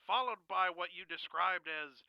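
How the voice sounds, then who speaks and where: A man's voice: sits high, moderate pace, vocal fry, no echo, highs cut off. A man acting as a blunt, exasperated care-agency boss in a radio drama, insistent and condescending. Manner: insistent, matter-of-fact, condescending.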